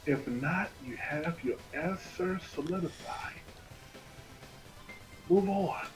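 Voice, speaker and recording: A man livestreaming a game and casually telling stories in an animated, slangy way. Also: on the high side, slow, low-quality recording. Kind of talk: casual chat over gameplay. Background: video game music.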